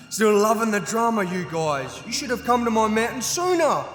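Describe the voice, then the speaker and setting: A man's voice: high-pitched, big pitch swings, a little slow, fairly bright tone, heavily acted. An actor playing a gloating, theatrical gnome king in a fantasy audio drama, with a flippant, mocking drawl. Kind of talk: full-cast fantasy audio drama acting. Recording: echoey hall reverb, music bed.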